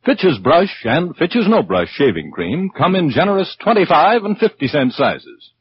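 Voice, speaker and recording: A man's voice: fairly high pitch, sing-song, moderate pace, lo-fi sound, slight background noise. A man announcing and reading commercials in 1940s radio style, on an old recording.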